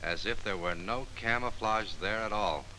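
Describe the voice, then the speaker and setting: A self-assured Army photo interpreter. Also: male voice, fairly low pitch, normal speed, pushed and bright, rough recording. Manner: precise, self-assured, explanatory. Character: confident, meticulous male Army photo interpreter. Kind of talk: 1940s training film acting. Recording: 1940s film soundtrack with faint hiss.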